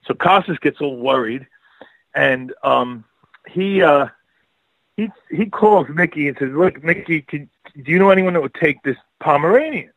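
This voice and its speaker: A man's voice: on the high side, moderate pace, fairly bright tone, no room sound, poor audio. A man with a Brooklyn and Long Island accent, telling a long rambling story as a radio guest, laughing often and full of fillers. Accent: Brooklyn/Long Island New York. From New York. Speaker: guest author and filmmaker. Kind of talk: casual radio interview.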